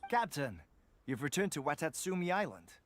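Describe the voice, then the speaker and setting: A man's voice: on the high side, very animated, moderate pace. A man voicing a friendly, chatty male soldier of a resistance army in a video game.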